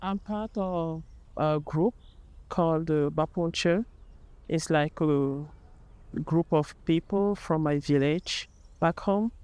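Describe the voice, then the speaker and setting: Woman from Cameroon, unhurried, fillers, smooth tone, dry sound. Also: deep voice, a little mellow, no room sound. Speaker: interviewee. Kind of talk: documentary interview.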